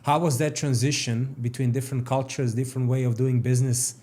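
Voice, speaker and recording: A man hosts a podcast interview at an average pitch. He asks structured questions and otherwise offers short acknowledgements while his guest talks. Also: fairly even intonation, brisk, warm tone. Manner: brief backchannels, structured questions. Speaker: podcast host.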